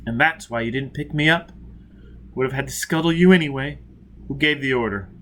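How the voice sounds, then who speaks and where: A man's voice: mid-range voice, fairly quick, a bit bright, slight background noise, close to an everyday voice. A man voicing a vengeful, merciless spaceman who presses his captive with blunt, taunting questions in a science fiction audiobook. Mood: angry.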